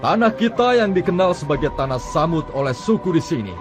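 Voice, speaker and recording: A man's voice: a little high, big pitch swings, brisk. A man playing a grumbling, discontented tribesman in a dubbed cartoon, over music. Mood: resentful. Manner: complaining, resentful. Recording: music bed under dialogue.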